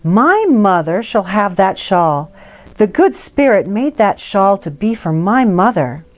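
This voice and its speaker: A woman's voice: mid-range voice, very animated, slow, soft background music. Woman reading as an earnest, pleading little girl. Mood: fierce resolve. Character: earnest little Indian girl, pleading and devoted to her mother. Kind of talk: storybook read-aloud.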